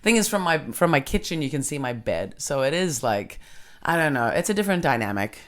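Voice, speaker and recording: A woman with a low, husky voice hosting a casual podcast chat, frank and quick to laugh. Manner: frank, animated, laughs easily. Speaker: podcast host, comedian. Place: comedy club.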